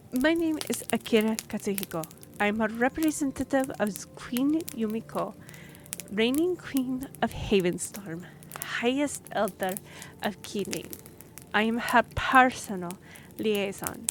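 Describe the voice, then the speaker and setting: Young half-elf noblewoman, played by a woman, fantasy audio roleplay: earnest, courtly, slightly awkward, unhurried delivery over faint background noise. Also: fairly high pitch, close to an everyday voice. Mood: formal, earnest. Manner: formal, hesitant, measured. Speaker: voice actor in a roleplay audio drama. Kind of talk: fantasy roleplay audio acting, addressing the listener.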